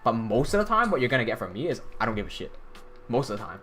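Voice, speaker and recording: A young man from Hong Kong talks casually to his stream while working at a desk, rambling through his points with restarts and blunt swearing. His voice sits at a middling pitch, and faint music plays underneath. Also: big pitch swings, fast talker, fairly bright tone. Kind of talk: casual live-stream chat. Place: indoor room at desk.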